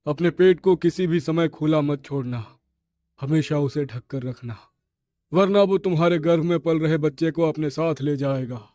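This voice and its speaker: A man playing a solemn, protective tantric holy man in an animated horror story, speaking in a grave, formal, instructing manner. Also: fairly high pitch, normal speed, dry recording, studio quality, close to an everyday voice. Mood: solemn warning.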